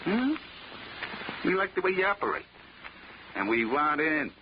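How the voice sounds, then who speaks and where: A man's voice: a little high, sing-song, measured pace. An actor playing a dim-witted, boastful gangster thug in a 1940s radio drama, talking in tough-guy slang and losing his train of thought. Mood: gloating. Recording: lo-fi noisy 1940s radio recording.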